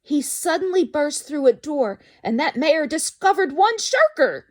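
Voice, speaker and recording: A woman's voice: high voice, sing-song, measured pace. A woman reading a children's story aloud expressively, dramatic in delivery and addressing young listeners.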